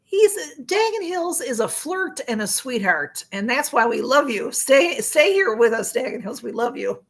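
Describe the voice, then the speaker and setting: A woman's voice: high voice, very animated, a little slow, fairly bright tone. A woman in her sixties chatting casually on a live stream, reading viewer comments aloud, rambling with lots of fillers and frequent laughs. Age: 60s. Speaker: livestream host.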